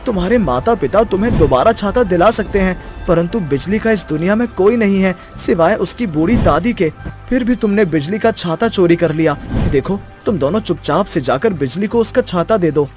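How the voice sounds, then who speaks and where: A man's voice: high-pitched, expressive, moderate pace, darkish tone, faint music behind. A man voicing an earnest, kind-hearted young schoolboy in a children's fairy tale. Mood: reproachful. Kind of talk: children's story voice acting.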